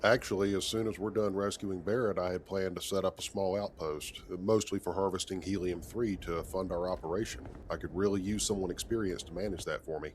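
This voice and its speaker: A man playing a calm, capable starship captain in scripted game dialogue, measured and matter-of-fact with light wry touches. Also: low voice, slight background noise, close to an everyday voice. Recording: loud ship and machinery ambience.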